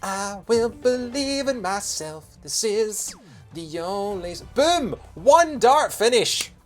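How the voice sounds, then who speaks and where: A man from Lanarkshire with a soft Scottish accent, doing casual, jokey live gameplay commentary as a streamer. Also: high-pitched, slow pace. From Bothwell, Lanarkshire, Scotland. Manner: jokey, punning, animated when reacting to the game. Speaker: video game streamer. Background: game music.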